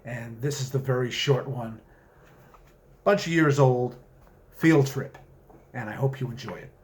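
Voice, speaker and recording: A man with a mid-pitched voice speaks as a writer sharing his own short fiction. His phrasing is measured and deliberate, in the manner of an author reading at home to listeners. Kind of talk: story reading with spoken introduction.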